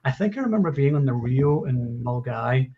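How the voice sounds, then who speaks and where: A man's voice: medium pitch, lively intonation, normal speed, gravelly, husky. A man in his forties hosting a casual film discussion, talking in a rambling, enthusiastic way over lo-fi livestream audio. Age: 40s. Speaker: host of a live film discussion show.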